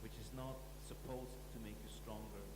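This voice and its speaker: A man's voice: lowish voice, fairly even intonation, moderate pace, noisy background. Man pressing a question in a hall. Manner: probing, restarting his sentences. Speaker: audience member asking a question. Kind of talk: live public Q&A. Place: large lecture hall. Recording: reverberant hall.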